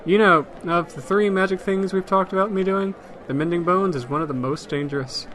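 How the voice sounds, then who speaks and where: A man's voice: high-pitched, fairly quick, fairly bright tone, a little hiss or hum. A man playing a blunt, unbothered magic user with a dry, pedantic manner in a comedic audio drama. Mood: deadpan. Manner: dry, matter-of-fact, pedantic. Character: Mike, blunt unbothered magic user guiding his reluctant friend.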